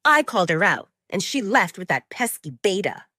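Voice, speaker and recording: A woman's voice: medium pitch, sing-song, normal speed, bright, forceful tone, no room sound, clean studio sound. A woman voicing a whiny, spoiled young she-wolf daughter in an audio drama, pouting and exaggerated. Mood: indignant.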